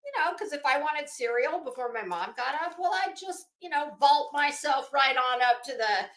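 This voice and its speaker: A woman's voice: high voice, animated, moderate pace, fairly bright tone, echoey room. A sassy, salty-tongued woman chatting casually and theatrically as she hosts a rambling vlog. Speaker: YouTube host.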